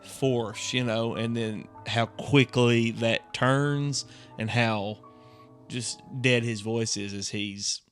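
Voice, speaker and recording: A man's voice: medium pitch, fairly even intonation, slow pace. A man co-hosting a podcast, talking a story through in a conversational, explanatory way. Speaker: podcast co-host. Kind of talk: casual discussion of a story. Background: faint music.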